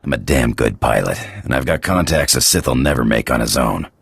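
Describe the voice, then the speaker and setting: A man playing a gruff, cocky, hot-tempered space pirate pilot in a video game, with a gravelly voice and rough slangy talk. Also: rumbling low, animated, normal speed, no room sound, full character voice. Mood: eager, persuasive. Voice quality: gravelly, husky.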